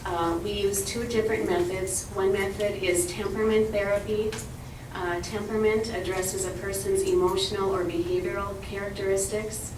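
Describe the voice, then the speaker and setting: A soft-spoken woman presenting a program from prepared notes at a meeting. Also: mid-range voice, flat delivery, moderate pace. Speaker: ministry representative. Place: meeting room. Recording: roomy, distant, noisy room recording.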